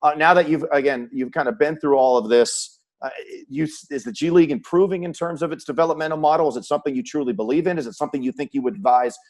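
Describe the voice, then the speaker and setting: Man hosting a sports interview, halting phrasing. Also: on the high side, vocal fry, a little mellow. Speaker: host, sports play-by-play announcer. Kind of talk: sports interview, conversational questions.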